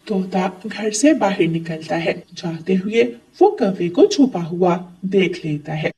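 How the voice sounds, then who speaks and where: A woman's voice: lowish voice, animated, slow pace, warm tone, husky, far from the mic. A woman giving scripted narration for a children's story.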